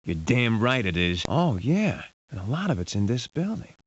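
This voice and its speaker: A man's voice: medium pitch, big pitch swings, normal speed, natural voice. A man playing a hard-boiled, wisecracking detective in a video game, with a wry, blunt way of talking. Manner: wry, blunt, hesitant asides. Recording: hissy, dull lo-fi game audio.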